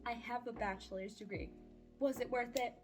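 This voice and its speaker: Casting: woman, twenties, higher-pitched voice, informal vlogger delivery, frank, slangy and wry, music underneath. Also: moderate pace. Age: 20s. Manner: candid, slangy, playfully sarcastic. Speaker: vlogger and voice-acting audio creator. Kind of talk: casual chatty life-update vlog. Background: background music.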